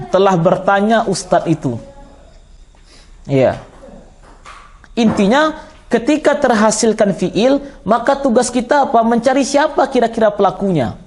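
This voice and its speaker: High-pitched Minangkabau Indonesian male teacher. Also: slight background noise. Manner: didactic, repeats and quizzes listeners. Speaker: Islamic teacher of Arabic grammar. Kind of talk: classroom lesson, explaining with questions to students.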